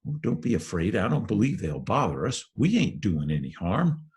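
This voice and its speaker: A man voicing a nervous young boy in a storybook, speaking in hushed, urgent, folksy dialect. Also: lowish voice, sing-song, normal speed, pitched above the performer's own voice. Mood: urgent, tense.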